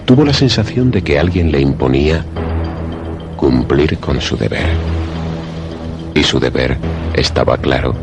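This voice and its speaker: A man narrating a scripted suspense radio play in a measured, literary storytelling manner. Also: very deep voice, lively intonation. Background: music under the voice.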